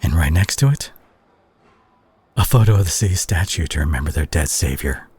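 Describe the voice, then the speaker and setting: A man acting a concerned superhero who confronts a reckless friend in a dramatic audio roleplay, speaking earnestly and haltingly with a husky, breathy voice. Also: low-pitched, expressive, a little mellow, full character voice. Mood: somber, pained.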